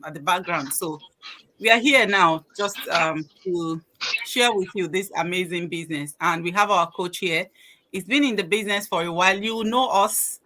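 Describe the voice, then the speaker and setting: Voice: mid-range voice, bright, forceful tone. A host opening a live podcast and introducing a guest coach, with frequent um fillers. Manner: welcoming, many fillers.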